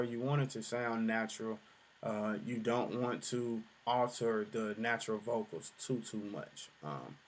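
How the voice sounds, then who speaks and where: A young adult man with a deep voice giving a relaxed music production tutorial, full of fillers. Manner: relaxed explaining, many fillers. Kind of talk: screen-share tutorial explanation.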